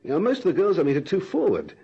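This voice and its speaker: An actor playing a direct, talkative, self-assured suitor in a radio drama, teasing and persistent. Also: male voice, sits high, huge pitch swings, racing, gravelly, warm tone, breathy edge, full character voice. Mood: flirtatious, breezy. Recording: dull lo-fi old radio recording.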